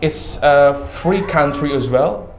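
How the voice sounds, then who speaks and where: A man who speaks natively, a guest in a casual interview chat, talking haltingly in English with lots of fillers and repeated words. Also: a little high. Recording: echoey room.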